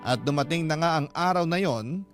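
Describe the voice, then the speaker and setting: A man's voice: fairly high pitch, a little fast. A man narrating a radio drama in scripted first-person storytelling style. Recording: music bed behind the narration.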